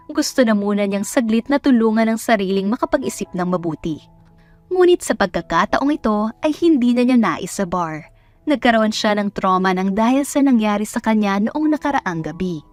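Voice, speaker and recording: A woman's voice: mid-range voice, darkish tone. A woman with a Filipino accent reading scripted romance story narration. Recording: dry voice over background music. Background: music.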